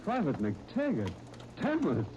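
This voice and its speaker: Army lieutenant: young, English, self-assured and genial, expressive delivery, crackly vintage radio serial. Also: male voice, fairly high pitch, takes it slowly, muffled recording, full character voice. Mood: genial, pleased. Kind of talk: 1930s radio serial acting. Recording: very noisy 1939 radio transcription disc.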